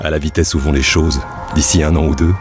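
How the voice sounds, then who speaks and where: An actor playing a devoted, dreamy amusement park worker who speaks a reflective journal-like monologue in an audio drama. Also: male voice, extremely low pitch, little pitch movement, a little fast, over loud music. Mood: reflective, anticipating.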